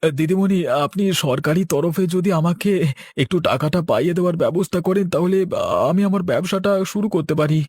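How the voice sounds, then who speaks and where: A man's voice: a little high, brisk, darkish tone, breathy edge, no echo. A man acting a pleading small businessman in a cartoon, beseeching and deferential. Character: pleading small businessman begging an official for money. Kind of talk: Bengali cartoon dialogue.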